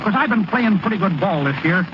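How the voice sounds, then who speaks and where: High-pitched, artless young ballplayer. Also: male voice, animated, brisk. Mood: worried, sheepish. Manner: folksy ungrammatical talk, artless and earnest. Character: naive, worried small-town baseball pitcher, plain and slow-witted. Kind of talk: 1930s radio acting. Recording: noisy, muffled vintage radio broadcast recording.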